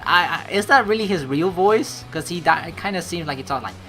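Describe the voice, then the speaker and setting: A woman giving casual, excitable gameplay commentary in an informal way, swearing freely. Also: on the low side, fairly quick, soft background music. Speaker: let's play streamer. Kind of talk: casual gameplay commentary, reading game text aloud.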